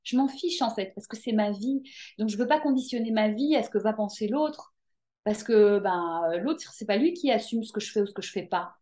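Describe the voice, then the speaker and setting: A woman from Belgium, talking as a podcast guest in a lively, emphatic way with frequent hesitations. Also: on the high side, brisk. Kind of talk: casual interview chat.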